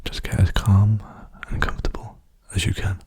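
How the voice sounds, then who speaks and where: A young man speaking softly in a hushed, breathy, soothing whisper, rambling casually for an ASMR video. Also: lowish voice, monotone, moderate pace. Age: young adult.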